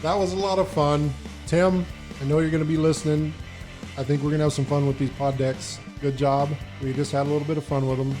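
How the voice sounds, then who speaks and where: Man, podcast host, booming loud voice, fairly high pitch, laughs often. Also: little pitch movement, fairly quick, faint music behind. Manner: laughs often, leads the questions. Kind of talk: casual podcast chat.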